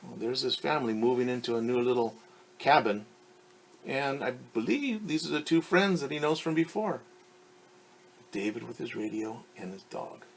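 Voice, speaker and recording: A man's voice: mid-range voice, animated, vocal fry, husky, poor audio. A male teacher reading a picture book aloud to children, stopping to explain words and ask them questions. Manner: pauses to explain words and quiz young listeners.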